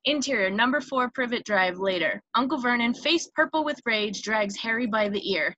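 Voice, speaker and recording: A woman's voice: on the high side, lively intonation, normal speed, pushed and bright, muffled recording. A woman reading screenplay stage directions as narrator, with small stumbles, on a noisy home recording with clicks.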